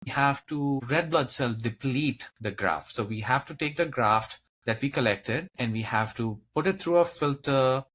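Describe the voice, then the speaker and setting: A man's voice: medium pitch, fairly bright tone. A man speaking as a physician guest in an interview, explaining medical matters in plain lay terms. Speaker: expert guest, transplant physician.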